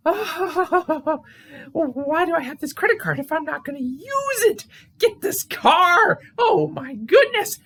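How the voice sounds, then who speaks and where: A woman puts on a female raccoon puppet with a very high, bright voice. She is anxious and whiny, giggly one moment and hesitant the next, swooping melodramatically in pitch. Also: animated, slow pace, heavily acted. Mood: covetous, excited. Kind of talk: puppet show voice acting.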